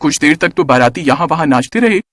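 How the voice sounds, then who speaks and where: A man narrates a children's story in a high voice with very lively, swooping intonation. The delivery is scripted and expressive, and the recording is close and dry. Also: fairly quick, no room sound.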